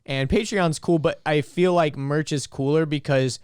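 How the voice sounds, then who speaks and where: A man's voice: fairly high pitch, normal speed, smooth, no room sound, clean studio sound. A young man co-hosting a podcast, chatting casually and rambling with lots of fillers. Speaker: podcast co-host. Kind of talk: casual chat.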